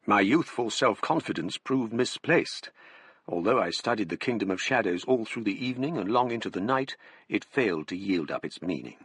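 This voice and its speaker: An actor playing a literary gentleman narrating an eerie tale in a radio drama, with a measured, formal manner. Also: fairly low pitch, lively intonation, husky, no echo. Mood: reflective, ominous. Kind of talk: scripted first-person radio drama narration.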